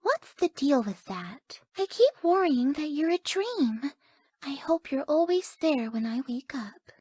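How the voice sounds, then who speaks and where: A dreamy, easily confused young woman from a video game. Her voice is high and soft, drifting slowly along with wide-eyed wonder and airy, gentle phrasing. The melody swings widely. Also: big pitch swings, no room sound. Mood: dreamy, affectionate. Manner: soft, airy, gentle, often dropping to breathy near-whispers. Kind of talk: video game character voice lines.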